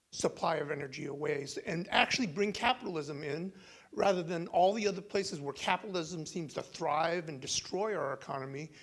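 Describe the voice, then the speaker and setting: A man's voice: on the high side, creaky, husky. A man in the audience at a forum, asking a blunt, indignant question.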